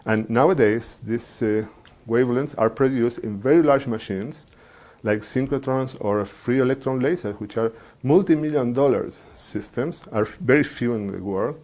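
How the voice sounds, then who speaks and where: Middle-aged researcher, measured and halting. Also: male voice, medium pitch, a little mellow. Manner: measured, pausing often with fillers, non-native phrasing. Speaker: engineering researcher. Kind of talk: explaining research to a general audience.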